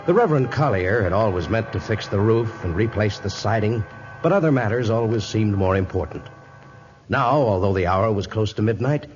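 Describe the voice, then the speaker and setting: Portentous male narrator on muffled old radio. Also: lowish voice. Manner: measured, portentous. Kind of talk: dramatic scripted 1950s radio narration. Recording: dull old radio recording, reverb, music behind.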